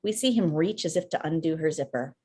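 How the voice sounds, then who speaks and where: A woman's voice: sits low, expressive, a little fast, darkish tone, breathy edge. A woman reading scripted screenplay stage directions as narrator on a video call. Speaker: filmmaker, stage-direction reader.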